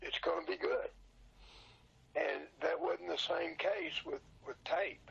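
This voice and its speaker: An older man in his sixties or beyond with an extremely creaky, badly husky voice. He explains things plainly and informally in a casual interview, his pitch rising and falling freely. The sound is a bit dull and lo-fi. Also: huge pitch swings, slow pace, a bit bright. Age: 60s or older. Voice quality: gravelly, husky. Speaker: interview guest, old radio show collector and seller.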